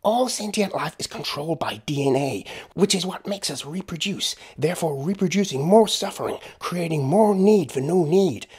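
A man's voice: on the high side, animated, normal speed, hardly any pauses, a little mellow, husky, pitched above the performer's own voice. A man voicing a cheerful, amiable American woman earnestly spreading her creed in an audiobook. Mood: earnest, insistent. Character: cheerful, unflappable short stout American woman, zealous doorstep evangelist. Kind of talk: audiobook character voice.

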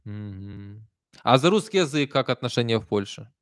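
A man's voice: mid-range voice, expressive, moderate pace, no echo, clean studio sound. A man in his thirties from Ukraine with a Kyiv accent, chatting emphatically on a live stream while reading out viewer comments. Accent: Kyiv Russian. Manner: conversational, emphatic, soft-spoken asides. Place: small room indoors. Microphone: desk stand mic close up.

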